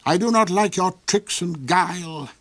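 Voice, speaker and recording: A cold and sly villainous Duke from a storybook, played by a man. He speaks in haughty, measured, sneering phrases, recorded close and dry. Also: high-pitched, a bit bright, no echo, pitched above the performer's own voice. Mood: sly threat.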